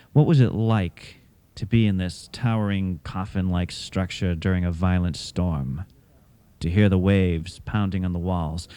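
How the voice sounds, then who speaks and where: A man hosting a radio theatre show, delivering scripted, literary narration in a measured, dramatic way. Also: fairly low pitch, a little mellow, clean tone. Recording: cassette of radio show, music behind.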